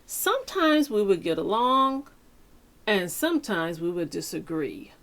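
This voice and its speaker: A woman reading a picture book aloud to children like a teacher, with deliberate, dramatic phrasing and pauses. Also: mid-range voice, very animated, fairly bright tone. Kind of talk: expressive children's read-aloud.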